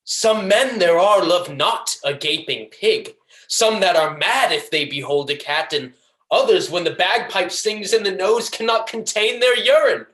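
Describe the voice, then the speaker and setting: Man acting vengeful stage villain, proud, declamatory, taunting, sharp forceful tone, Shakespearean monologue, echoey room. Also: sits high, unhurried, voice pushed up. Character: proud, vengeful, persecuted merchant villain savoring power.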